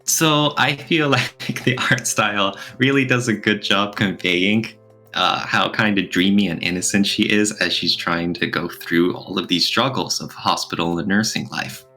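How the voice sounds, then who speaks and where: A man's voice: on the low side, moderate pace, pushed and bright, faint music behind. A man co-hosting a podcast, talking casually in a thoughtful, explanatory way. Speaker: podcast co-host. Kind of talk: casual podcast discussion, reviewing.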